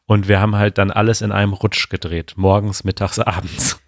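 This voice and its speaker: An easygoing man co-hosting a podcast, with a low-pitched voice. He teases his partner and hesitates now and then as he picks his words. The sound is close and dry. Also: little pitch movement, a little fast, dry recording. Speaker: podcast co-host. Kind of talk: casual chat.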